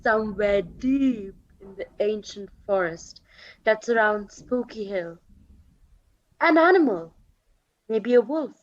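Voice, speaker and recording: A woman narrating a scripted radio play in a measured, atmospheric, dramatic storytelling manner. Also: mid-range voice, animated, dry recording. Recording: dull lo-fi sound with music.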